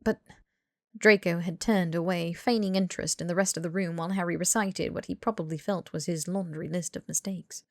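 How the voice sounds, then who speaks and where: A woman reading fiction as an audiobook narrator in an expressive, scripted storytelling style. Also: lowish voice, a little fast, vocal fry, hardly any pauses, no echo, clean studio sound.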